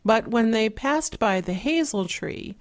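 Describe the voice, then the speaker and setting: A soft-spoken woman narrating a fairy tale in a measured, relaxing way, with an 8D surround panning effect. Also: fairly high pitch, expressive. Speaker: storyteller.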